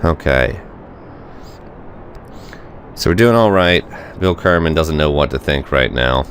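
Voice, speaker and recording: A man's voice: a bass voice, lively intonation, unhurried, fairly bright tone, a little hiss or hum. A man with a cold and a congested, nasal voice, thinking aloud over a game he is playing. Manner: thinking aloud, rambling with fillers. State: stuffed up with a cold. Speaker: gaming channel host. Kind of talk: let's play commentary.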